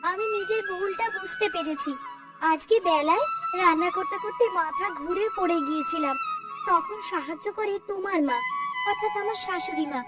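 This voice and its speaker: A woman's voice: extremely high pitch, moderate pace. A woman voicing a meek, grateful young daughter-in-law in a children's cartoon, earnest and plaintive. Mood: remorseful. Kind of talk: Bengali children's cartoon voice acting. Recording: background music under the voice.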